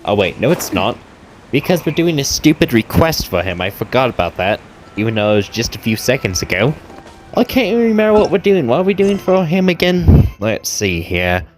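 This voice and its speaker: A man's voice: medium pitch, sing-song, brisk. A man giving casual, goofy game commentary, reading dialogue aloud and wryly talking back to the characters. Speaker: gaming video creator playing and commenting. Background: game music.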